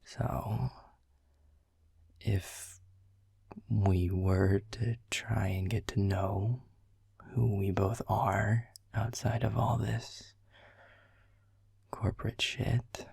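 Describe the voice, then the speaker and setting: A man playing a gravelly, world-weary grumpy boss. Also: fairly low pitch, level tone, drawn-out words, long pauses, dry recording, clean studio sound, full character voice. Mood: wry, teasing. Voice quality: hushed, breathy. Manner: sardonic, teasing, swears casually. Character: world-weary grumpy male middle manager. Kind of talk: audio roleplay.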